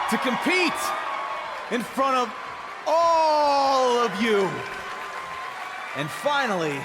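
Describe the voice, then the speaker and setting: Cocky wrestling champion, slow and high-pitched. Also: male voice, exaggerated voice. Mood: triumphant. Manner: emphatic, halting phrases for effect. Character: cocky champion pro wrestler cutting a promo. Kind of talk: wrestling promo on the microphone. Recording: echoey arena PA with crowd noise.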